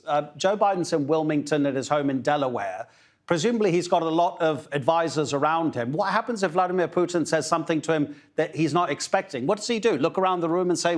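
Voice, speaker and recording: A man anchoring a live news broadcast, putting questions to a guest in a conversational way. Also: on the high side.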